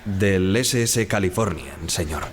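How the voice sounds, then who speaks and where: An actor playing a dutiful, respectful ship's radio officer who recalls events in a measured way for a radio drama. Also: male voice, low-pitched, a little hiss or hum. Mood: deferential, guarded. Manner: deferential, measured. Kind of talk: radio drama acting, first-person recollection.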